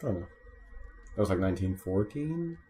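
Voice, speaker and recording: A man's voice: deep voice, lively intonation, brisk, crowd noise. A man giving casual, joking commentary on a video game as a let's play commentator.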